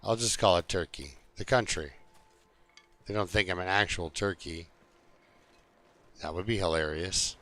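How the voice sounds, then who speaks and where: A man's voice: low-pitched, measured pace, pauses often, pushed and bright. A man live streaming a video game, chatting casually and jokingly to his viewers in an easygoing, rambling way.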